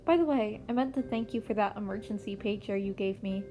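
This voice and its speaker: A blunt, nonchalant fifteen-year-old boy from an audio story, voiced by a woman. The delivery is offhand and cheeky, with a mellow tone. Music plays quietly behind. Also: medium pitch, a little fast, lightly acted. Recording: music playing under the voice.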